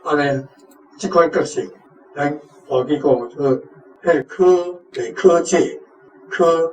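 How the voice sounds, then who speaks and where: A Taiwanese man with a fairly high voice gives an informal language lesson. He explains in a halting way. The recording has noticeable background noise. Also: low-quality recording. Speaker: teacher giving on-site guidance. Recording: clear background noise, room ambience.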